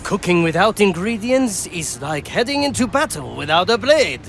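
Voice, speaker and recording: An actor playing a courteous, proud assassin who cooks aboard a ship in a video game, formal and measured. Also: male voice, sits high, sing-song, gravelly, a bit bright, slight background noise, exaggerated voice. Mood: intrigued, proud. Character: courteous, proud Japanese assassin and ship's cook.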